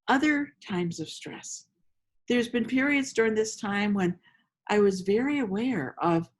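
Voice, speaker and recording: Female meditation host, medium pitch, slow inviting delivery, gentle storytelling with generous pauses. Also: breathy edge. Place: outdoors among roses.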